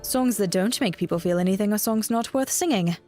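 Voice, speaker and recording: Female bard, played by a woman, fantasy audio drama: medium pitch, measured, gentle, guarded and a little wistful, over music. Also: close to an everyday voice. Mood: wistful, candid.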